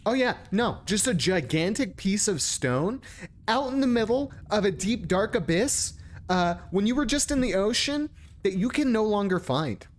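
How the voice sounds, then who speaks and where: A man's voice: high voice, expressive, normal speed, slight background noise. A man giving casual, sarcastic and sweary live commentary as he plays a video game. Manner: sarcastic, profane.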